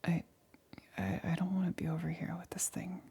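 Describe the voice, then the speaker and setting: A nervous, lonely lab assistant, acted by a woman in an ASMR roleplay. Her voice is a low, very breathy whisper with a soft, mellow tone. She speaks haltingly and intimately, stumbling over words as she clings for company. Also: level tone, full character voice. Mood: anxious, pleading. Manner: halting, hesitant, stammering. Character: anxious, lonely female lab assistant growing woozy and clingy.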